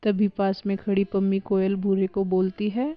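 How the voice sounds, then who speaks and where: A woman's voice: mid-range voice, monotone, normal speed, no room sound, lo-fi sound. A woman narrating a scripted cartoon story for children.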